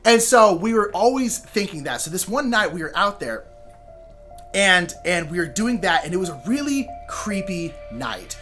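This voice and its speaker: Young adult man, American accent, casual storytelling, lively swooping pitch, bright forceful voice, music behind. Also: moderate pace. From Sacramento, California. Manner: animated, informal, dramatizes with exclamations and asides. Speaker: storyteller, show host.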